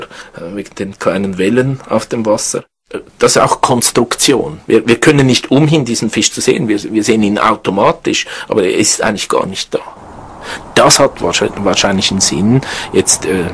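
A man's voice: on the low side, slightly rough. A man explaining things as a researcher in an interview, repeating words and adding questioning tags as he thinks. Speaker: neuroscience researcher.

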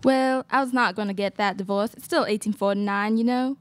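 A woman's voice: medium pitch, steady tone, fairly quick, no echo, natural voice. A woman acting a scheming, gold-hungry Old West wife in a student radio play. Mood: smug scheming. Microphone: stand microphone.

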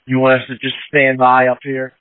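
A man's voice: medium pitch, monotone, a little fast, clean tone, no echo. A male firefighter reporting to command over an emergency two-way radio. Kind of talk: emergency radio dispatch traffic. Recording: narrow dry two-way radio transmission.

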